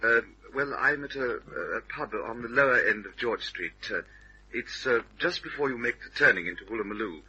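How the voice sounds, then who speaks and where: Jittery polite english gent in an old radio detective show, stammers a lot, rough tense voice. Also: male voice, medium pitch, fairly quick, bright, forceful tone, dull audio, exaggerated voice. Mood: nervous, hurried. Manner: hesitant, stammering, courteous. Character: nervous, evasive, polite English veteran stage actor. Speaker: actor in radio drama. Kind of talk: old-time radio detective drama acting.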